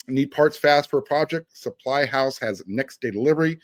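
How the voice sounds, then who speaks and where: A man's voice: medium pitch, moderate pace, no room sound. A man hosting a sports podcast, talking casually and reading sponsor spots. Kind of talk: casual sports talk and ad reads.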